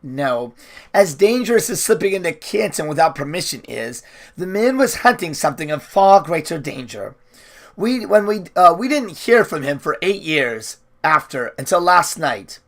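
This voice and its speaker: A man's voice: fairly high pitch, big pitch swings, moderate pace, a bit bright. A man voicing a small, miserable, nervous messenger in a storybook, hesitant and wailing as he explains himself. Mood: nervous explaining.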